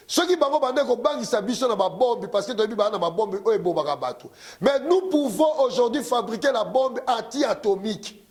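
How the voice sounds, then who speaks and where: A man giving a rousing political speech at a conference, impassioned and leading the crowd in call-and-response chants. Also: very high voice. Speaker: conference speaker.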